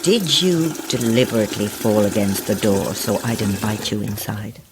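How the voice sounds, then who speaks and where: Very deep-voiced, sinister ancient old woman. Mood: cold, threatening. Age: older. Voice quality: husky, gravelly, sometimes hissing whisper. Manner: slow, relishing, theatrical, condescending endearments. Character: sinister ancient child-snatching old woman, sly and sweetly menacing. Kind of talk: horror audio drama acting.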